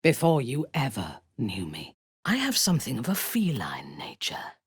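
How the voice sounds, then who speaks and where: An actress playing a haughty, ruthless dark elf warrior in a video game, speaking in an imperious, measured, menacing way. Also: female voice, rumbling low, wildly swooping pitch, breathy edge, no echo, clean studio sound, full character voice. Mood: grave, proud. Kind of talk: video game character voice lines.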